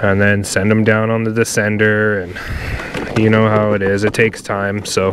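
A man vlogging from his kayak on open water, chatting casually to viewers while he fishes. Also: on the low side. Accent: Canadian. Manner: laid-back, chatty, talks to viewers as he fishes. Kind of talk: casual on-water narration to camera. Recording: clear outdoor water and wind noise. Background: wind and water noise.

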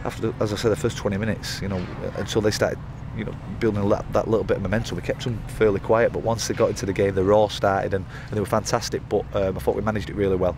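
A man in his thirties, a football manager giving a post-match interview outdoors by the pitch at night, talking in rambling runs full of fillers and restarts. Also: little pitch movement, quick pace, few pauses. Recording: outdoor interview with loud background noise.

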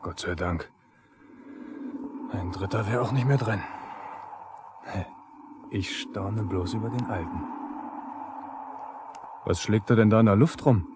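A man's voice: on the low side, slow pace, pauses often. An actor playing a resentful, defiant younger lineman who grumbles colloquially in a 1970s East German radio drama. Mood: strained. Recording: noisy 1970s vinyl radio play.

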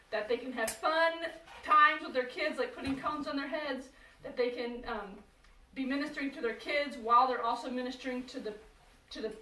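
A woman teaching children in a classroom, upbeat and lively as she speaks to the kids. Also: high-pitched. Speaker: children's music and Sunday school teacher. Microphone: camera across the room. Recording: roomy, distant classroom sound with faint noise.

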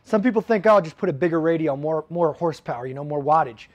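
A man's voice: a little high, expressive, fast talker, dry recording, dull audio. A man in his thirties giving a casual, practical how-to talk about radio gear, first in a garage and then outdoors on a windy lot. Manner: direct, practical, frequent "okay?" check-ins. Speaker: shop owner explaining radio gear.

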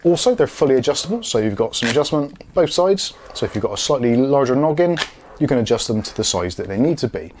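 A man's voice: medium pitch, very animated, quick pace, a little mellow, slight background noise. A British man in his forties giving a chatty, explanatory product review in a casual presenter style. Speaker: host of a product review channel. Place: small home studio room, indoors.

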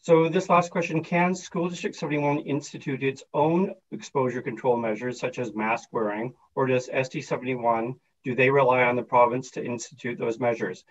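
Measured official man, muffled call. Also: mid-range voice, steady tone, a little hiss or hum. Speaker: school district official. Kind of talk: formal answer on a video call. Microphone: video call. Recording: dull lo-fi call audio.

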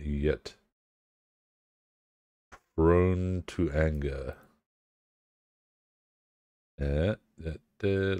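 A man's voice: a bass voice, darkish tone, no room sound. A young man streaming a game, chatting casually with lots of swearing, sounding a bit bunged up. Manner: profane, laid-back banter with chat. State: congested, bunged up. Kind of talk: casual live-stream chat.

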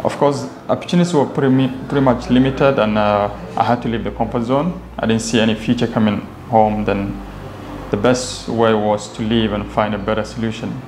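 A man's voice: lowish voice, fairly even intonation, a little fast. A young Somali man with a Somali accent recounts his own experiences as an interview guest. His delivery is casual and conversational, and the room adds noticeable echo with faint noise behind him. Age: young adult. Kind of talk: casual personal storytelling in an interview. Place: indoor office lounge with glass walls.

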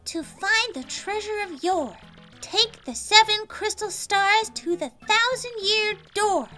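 A woman's voice: very high-pitched, takes it slowly, sharp tone, faint music behind, exaggerated voice. A woman voicing a perky, brainy, sassy young female game creature, with emphatic valley-girl phrasing. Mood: eager.

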